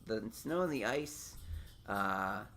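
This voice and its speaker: A man's voice: on the low side, lively intonation, slow, sharp tone. Rambling, joking male podcast host. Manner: rambling, self-deprecating, joking. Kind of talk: casual interview chat.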